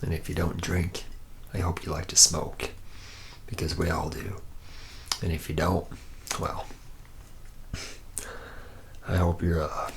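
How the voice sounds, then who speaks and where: Male actor as boozy womanizing factory mechanic, very deep and flat in pitch, loose, laid-back ASMR roleplay delivery. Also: slow pace, vocal fry, pauses often, a little hiss or hum, exaggerated voice. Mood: rambling, casual. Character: charismatic, drunken, hard-living womanizing senior robot mechanic.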